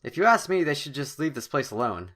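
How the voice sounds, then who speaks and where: A man's voice: mid-range voice, very animated, fairly quick, fairly bright tone. A man hosting a gaming video, reading game text aloud theatrically with jokey asides and the odd stumble over words. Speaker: host of a gaming channel. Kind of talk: let's play, reading game text aloud with joking commentary.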